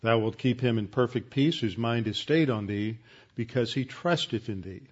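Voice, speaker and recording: A man, a pastor leading a Bible class with announcements, recited verses and prayer, talking in a halting way with many fillers and restarts. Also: mid-range voice, breathy edge. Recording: dull lo-fi sound.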